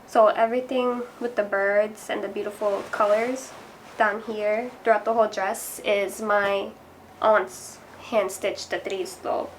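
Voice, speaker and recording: A young woman explaining, clearly and casually, why an object connects her to her heritage. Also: mid-range voice, level tone, a little slow, slight background noise, rough recording. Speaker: interviewee. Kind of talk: casual personal testimony.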